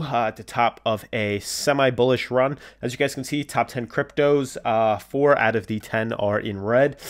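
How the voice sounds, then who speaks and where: A man hosting a casual cryptocurrency video, sounding congested from a cold and talking loosely with lots of fillers. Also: mid-range voice. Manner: filler-heavy, loose, reads articles aloud. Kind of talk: casual market commentary to camera.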